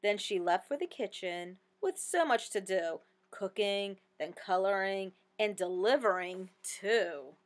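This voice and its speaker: A woman reading a rhyming children's story aloud with expressive, sing-song delivery. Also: medium pitch, a little slow, few pauses. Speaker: storybook reader.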